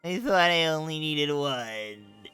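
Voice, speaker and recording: A man's voice: a little high, lively intonation, slow, bright, forceful tone, faint music behind. A man streaming a video game in casual chat, joking and complaining melodramatically.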